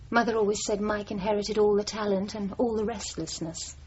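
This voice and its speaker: An actress playing a composed, wry young dancer in a radio drama, with a cool, reserved way of talking. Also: female voice, mid-range voice, level tone, fairly quick, darkish tone, lightly acted. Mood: wry, reminiscing. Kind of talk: naturalistic radio drama acting. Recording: dull, noisy old radio broadcast.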